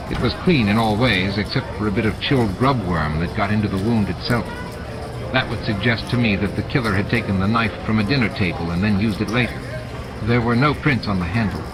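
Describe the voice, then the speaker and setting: An earnest space station doctor, read by a male narrator in a storybook. He talks precisely and clinically, with a measured delivery. Noise is clearly present behind him. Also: fairly low pitch, lightly acted. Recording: noisy audiobook with added sound effects.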